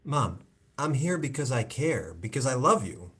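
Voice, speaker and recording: Man acting an earnest, exasperated son, animated. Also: mid-range voice, moderate pace, husky, exaggerated voice. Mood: coaxing. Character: concerned, exasperated grown son confronting his mother. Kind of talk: live play reading over video call. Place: indoors, on a video call.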